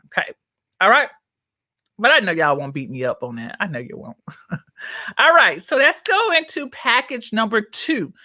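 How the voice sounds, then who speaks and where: A woman coach talks straight to her live audience in a loose, chatty way. Her voice is bright and forceful. She keeps checking in with viewers as she teaches. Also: mid-range voice, no room sound, studio quality. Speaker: business coach. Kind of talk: casual live-streamed coaching talk. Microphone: own microphone, checked on her phone.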